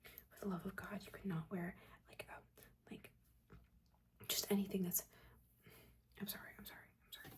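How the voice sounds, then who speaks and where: A woman voicing a judgmental but caring witch mentor in a breathy, chatty, chiding whisper, in a soft-spoken ASMR roleplay. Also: mid-range voice, little pitch movement, a little fast, pauses often, close to an everyday voice. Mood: chiding, fussy. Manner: chatty, chiding, casual slangy asides.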